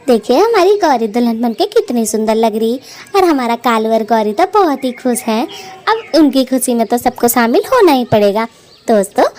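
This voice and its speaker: A woman plays a chatty young female bird in a family cartoon. Her voice sits fairly high, and she speaks in an affectionate, teasing, effusive way. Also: a little mellow. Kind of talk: Hindi family cartoon story acting.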